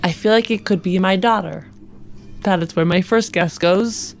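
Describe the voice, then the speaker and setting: A woman's voice: fairly low pitch, moderate pace, a little hiss or hum. A woman playing a tabletop role-playing game, improvising dialogue and chatting casually. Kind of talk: improvised in-character role-play and casual chat.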